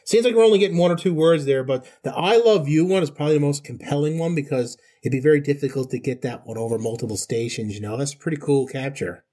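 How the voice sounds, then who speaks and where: Chatty man, higher-pitched voice. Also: quick pace, few pauses, dark tone. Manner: chatty, folksy, joking. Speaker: podcast host. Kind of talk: casual conversational interview.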